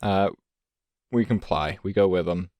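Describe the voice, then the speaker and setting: Deadpan, low-voiced male tabletop player. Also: a little slow, no room sound. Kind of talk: casual in-character play and banter.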